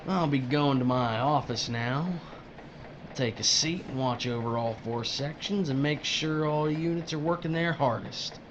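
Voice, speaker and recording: A man's voice: mid-range voice, a little slow, lo-fi sound, a little hiss or hum, natural voice. A man playing a smug, folksy, bullying foreman in an audio drama, with a self-satisfied, needling delivery.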